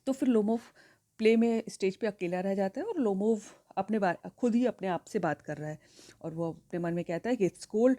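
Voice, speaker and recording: A woman's voice: medium pitch, moderate pace, dark tone. A woman teacher with an Indian accent explaining a play line by line and speaking directly to her students.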